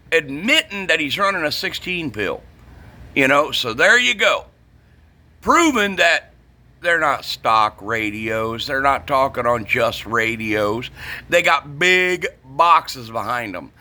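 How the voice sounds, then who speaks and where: Brash middle-aged man, forceful voice. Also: a little high. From northern United States. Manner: blunt, profane, emphatic, repeats himself. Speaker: CB radio shop vlogger. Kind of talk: casual opinionated rant.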